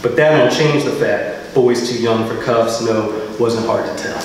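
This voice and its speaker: An American man reading his poetry at a live event, with measured, deliberate phrasing. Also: medium pitch. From Philadelphia, United States. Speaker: poet reading own work. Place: live reading venue, indoors. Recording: very echoey hall, distant mic, faint noise.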